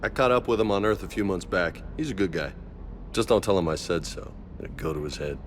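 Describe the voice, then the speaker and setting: A cocky, low-voiced space marine. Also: male voice, expressive, fairly quick, a little hiss or hum. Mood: relaxed. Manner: casual, slangy, brash. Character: cocky, easygoing young male space marine. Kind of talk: video game voice acting.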